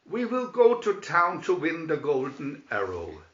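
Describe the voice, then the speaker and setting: A man's voice: fairly high pitch, wildly swooping pitch, measured pace, a bit bright, echoey room, highs cut off, heavily acted. A man voicing a jolly, bold outlaw hero in a storybook, hearty and declaiming. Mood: joyful.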